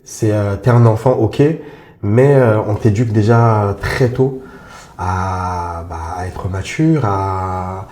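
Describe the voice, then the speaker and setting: A man's voice: fairly low pitch, takes it slowly, warm tone. A man in his forties from Congo, a podcast guest talking casually and hesitantly about his life. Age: 40s. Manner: hesitant, many fillers and restarts, informal. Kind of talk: casual interview talk. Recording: roomy echo with faint music.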